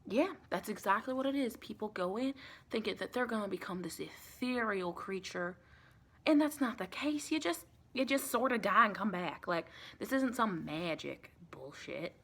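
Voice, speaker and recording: A woman playing a friendly, folksy female vampire in a soft-spoken ASMR roleplay, chatty and reassuring in a rambling, colloquial way. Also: medium pitch, expressive, moderate pace. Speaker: ASMR performer.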